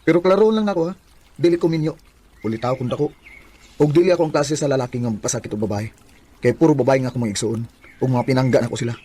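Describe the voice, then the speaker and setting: An actor playing an earnest, persistent young bachelor in a radio drama, with a Cebuano Filipino accent. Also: male voice, medium pitch, quick pace, long pauses, noisy background, lightly acted. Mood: friendly, earnest. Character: earnest, persistent bachelor coworker courting a woman.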